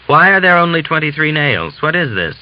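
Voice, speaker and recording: A man's voice: a little high, sing-song, fairly quick, pitched above the performer's own voice. A man voicing an angry, haughty military commissary in a storybook reading, barking indignant questions. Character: angry, fastidious military commissary, haughty officer bullying a recruit. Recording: dull hissy lo-fi audiobook recording.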